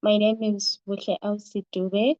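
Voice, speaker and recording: A woman's voice: mid-range voice, little pitch movement, measured pace, a little mellow, dry recording, highs cut off. A young woman with a Zimbabwean accent giving a formal, point-by-point presentation as a student coordinator on an online call. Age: young adult. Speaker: regional coordinator of a student association. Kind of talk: prepared presentation on an online meeting. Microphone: online call microphone.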